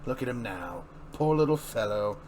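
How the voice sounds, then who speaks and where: A man's voice: mid-range voice, expressive, moderate pace, darkish tone, slight background noise, pitched below the performer's own voice, close to an everyday voice. A man voicing a mocking, cheerfully cruel villain clown with sardonic, theatrical delivery in a comic-book reading.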